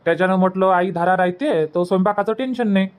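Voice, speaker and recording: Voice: medium pitch, fast talker, smooth, lightly acted. An actor voicing a put-upon young village son in a cartoon comedy, with a Varhadi Marathi accent and a whining, self-justifying manner. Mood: defensive. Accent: Varhadi Marathi from Vidarbha.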